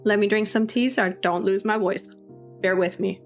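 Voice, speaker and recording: A young woman with a sore throat and a raspy voice, chatting through a casual voiceover for her game-building video, apologetic and full of restarts. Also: mid-range voice, fairly even intonation, normal speed. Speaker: YouTube creator. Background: faint background music.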